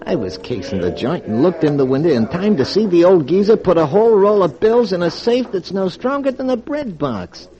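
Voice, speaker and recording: A man's voice: high voice, very animated, fairly quick, hardly any pauses, dark tone. An actor playing a tough male street robber with streetwise gangster slang, in a 1940s radio drama. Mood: menacing. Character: tough-talking male street stick-up man. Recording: dull vintage radio recording with music.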